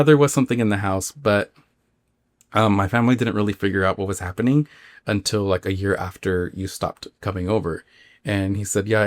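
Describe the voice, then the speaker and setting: A man with a fairly low voice tells a story in a casual, conversational way. His delivery is informal and halting, padded with filler words. The sound is very dry, with no room around it. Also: no room sound. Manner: informal, halting, filler-heavy with acted-out quotes. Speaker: podcast host.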